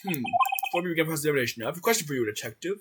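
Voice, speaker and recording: Man putting on a stern, very quick courtroom judge. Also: a little high, lively intonation, loud music behind the voice, close to an everyday voice. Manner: solemn, formal, pronouncing. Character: stern, formal elderly male courtroom judge. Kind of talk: video game fan dub character acting.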